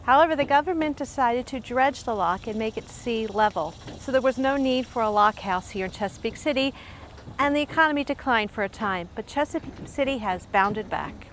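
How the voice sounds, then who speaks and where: A middle-aged American woman hosting a scripted travel piece to camera, speaking clearly and deliberately. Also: on the high side, fairly bright tone, slight background noise. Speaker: host, librarian. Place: outdoors in a small waterfront town.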